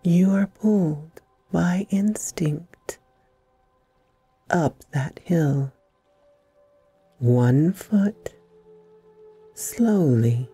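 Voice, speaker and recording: A woman hosting a guided meditation, speaking in soothing, deliberate phrases with long pauses and a breathy softness. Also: deep voice. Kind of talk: slow guided meditation narration.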